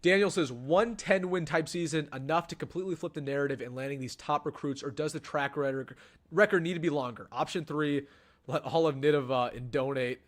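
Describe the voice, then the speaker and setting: A young man talks casually on a live stream, pitched a touch high for a man. He is chatty and animated, often stumbling and restarting as he answers questions from chat. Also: brisk. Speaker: sports channel host.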